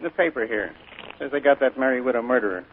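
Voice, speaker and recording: A man acting a chatty small-town family man, folksy and raspy, in a 1940s radio drama. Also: mid-range voice, fast, slight background noise, lightly acted. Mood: chatty, intrigued. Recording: tinny old radio broadcast.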